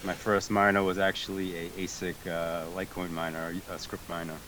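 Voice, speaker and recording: Deep-voiced man, hesitant, faint hiss. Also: little pitch movement, normal speed, a bit bright. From Greece. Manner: hesitant, many fillers and restarts. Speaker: guest, tech support team member. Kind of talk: casual interview answers. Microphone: remote voice chat call.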